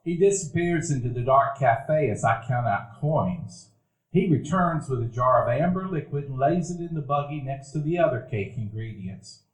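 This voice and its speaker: A man narrating a live stage radio play in a warm, reminiscing storytelling manner. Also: mid-range voice, lively intonation, normal speed. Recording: echoey room, live audience.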